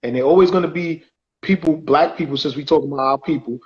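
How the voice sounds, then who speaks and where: A man's voice: a little high, quick pace. Rambling podcast man in lo-fi audio. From United States. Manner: loose, rambling, shouts out viewers. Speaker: podcast co-host. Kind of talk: casual debate, reading comments.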